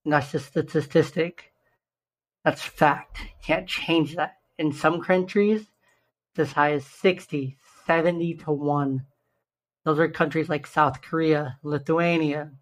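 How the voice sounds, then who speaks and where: A young man with a slightly raspy, hoarse voice, earnestly hosting a solo podcast and slowing down to stress key numbers. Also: a little high, steady tone, poor audio. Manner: earnest, stresses numbers slowly, self-interrupting restarts. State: hoarse from shouting the day before. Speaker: podcast host.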